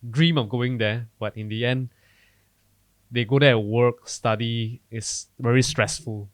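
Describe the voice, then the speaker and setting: A man's voice: mid-range voice, fairly even intonation, slow pace, no room sound. Curious Malaysian male podcast host. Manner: curious, probing follow-up questions. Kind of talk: casual interview chat.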